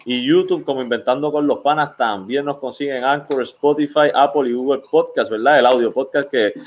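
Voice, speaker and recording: A man's voice: medium pitch, a little fast. A man in his forties hosting a sports podcast, chatting in a friendly, teasing way. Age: 40s. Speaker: podcast host. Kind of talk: casual sports chat.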